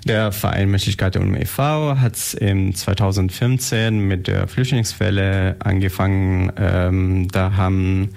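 Guest, man, 36, very low and gravelly, slow, halting with fillers. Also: steady tone, darkish tone. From Germany. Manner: hesitant, many fillers. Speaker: guest, association board member. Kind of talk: radio interview.

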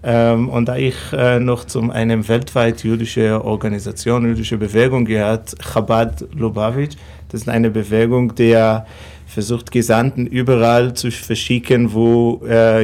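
A man in his thirties with an Israeli accent answers interview questions in careful, non-native German. His voice has a gravelly, creaky edge, and he sits at an ordinary middle pitch. He pauses often to search for words. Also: level tone, a little mellow. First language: Hebrew. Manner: halting, many fillers, non-native phrasing. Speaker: rabbi.

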